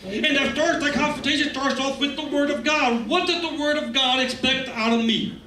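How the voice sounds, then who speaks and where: Man, middle-aged pastor, emphatic sermon, high voice, roomy church hall, distant pickup. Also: normal speed, bright, forceful tone. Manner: conversational, emphatic preaching with asides. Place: church stage, medium hall, indoors. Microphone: handheld mic. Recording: echoey room, camera set far back.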